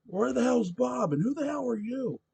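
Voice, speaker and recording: A man voicing a gruff, pushy drunk middle-aged man at a bar in a read-aloud story. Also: very high voice, expressive, moderate pace, a little mellow, voice pushed up, heavily acted. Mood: belligerent.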